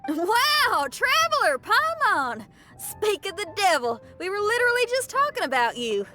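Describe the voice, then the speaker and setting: A woman's voice: very high voice, sing-song, a little slow, bright, forceful tone, soft background music, heavily acted. A woman voicing an upbeat, friendly young female musician in a video game, with an enthusiastic, folksy, informal manner. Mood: excited. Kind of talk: video game cutscene acting.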